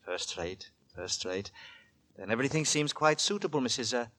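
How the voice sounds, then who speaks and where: An actor playing a weary, secretive, quietly menacing gentleman lodger in a formal, halting, repetitive manner, in 1940s radio horror style. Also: mid-range voice, animated, close to an everyday voice. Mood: intense, distracted. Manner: formal, halting, repeats his words. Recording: vintage 1940s radio broadcast recording.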